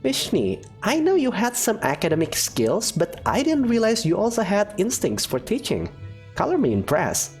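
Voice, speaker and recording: A woman's voice: medium pitch, wildly swooping pitch, moderate pace, darkish tone, soft background music, pitched above the performer's own voice. A woman voicing a gracious female professor who speaks in an encouraging, lilting way. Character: warm, genteel female professor, expecting mother.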